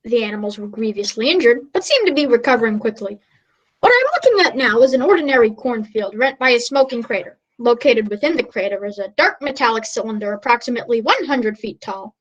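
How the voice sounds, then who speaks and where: Through slightly dull, lo-fi sound comes a woman acting an earnest field reporter in a comic radio play. The voice is fairly high and the delivery grandiose and dramatic. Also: animated, brisk. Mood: grave. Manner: declamatory, dramatic. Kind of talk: mock old radio news report.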